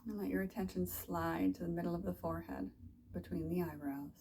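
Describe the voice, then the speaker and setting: A woman teaching a guided body scan meditation, giving soft, soothing, unhurried instructions with long pauses. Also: fairly low pitch, fairly even intonation, a little hiss or hum.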